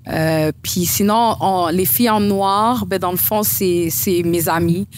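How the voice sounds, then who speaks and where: A woman's voice: fairly low pitch, steady tone, measured pace, slight background noise. A woman with a Québécois accent, a bride appearing as a podcast guest, talking at length in a chatty way with many fillers. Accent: Québécois French. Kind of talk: casual interview chat.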